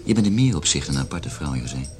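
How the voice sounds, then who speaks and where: A man's voice: a bass voice, lively intonation, fast talker, a little mellow, faint music behind. An actor playing a suave, sardonic married salesman who flirts and teases in a radio play. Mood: flirtatious. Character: flirtatious, cynical married salesman named John. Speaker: sales manager character.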